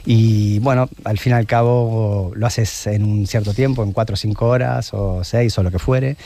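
A man's voice: fairly low pitch, fairly even intonation, normal speed, mellow. A middle-aged man from Argentina with an Argentine Spanish accent, giving reflective, explanatory answers as a guest in a conversational interview. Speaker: interview guest, long-distance open-water swimmer.

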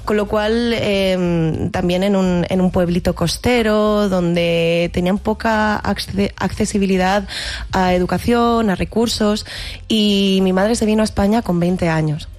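Brief: woman, 30s, from Catalonia, actress guest, measured and reflective, pauses and restarts. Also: mid-range voice, fairly even intonation, a little mellow. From Catalonia, Spain. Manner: thoughtful, many restarts and fillers. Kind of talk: reflective interview talk.

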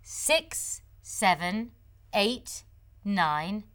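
Female co-host, mid-pitched, animated intonation, playful and teasing. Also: very slow. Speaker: language teacher co-host. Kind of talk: bilingual English lesson presenting.

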